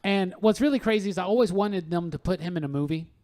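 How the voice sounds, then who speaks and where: A man with a somewhat high voice co-hosts a casual podcast. He talks with enthusiasm, using loose slang and the odd swear word. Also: quick pace, a little mellow. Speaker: podcast co-host. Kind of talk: casual chat.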